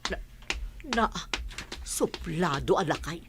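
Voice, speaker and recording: A woman's voice: low-pitched, lively intonation, slow, noisy background, full character voice. A woman playing a nosy, defensive gossip in an audio drama, with a Filipino accent. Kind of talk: Ilocano audio drama acting.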